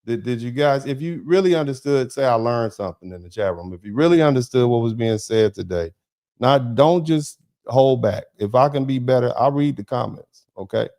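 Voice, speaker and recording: A man hosts a loose, rambling livestream as a lawyer chatting with his audience. He talks straight to his viewers, laughs easily, and his voice jumps way up when he gets excited. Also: mid-range voice, normal speed. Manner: laughs often, addresses the chat directly. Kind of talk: casual livestream chat thanking viewers.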